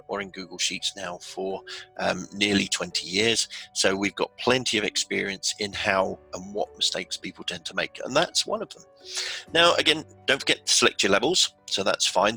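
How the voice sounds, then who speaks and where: A man's voice: fairly low pitch, gravelly, sharp tone, husky, rough recording. A man talking through an on-screen walkthrough as an instructor, in an informal, conversational, explanatory way. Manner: conversational, explanatory, acts out a user's thoughts. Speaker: software instructor, tutorial narrator.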